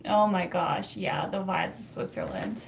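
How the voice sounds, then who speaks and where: A woman's voice: fairly low pitch, unhurried, vocal fry, slight background noise. A young Canadian woman with a Canadian accent, hosting a casual livestream, chatty and laughing often as she answers viewer comments. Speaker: livestream host reading chat.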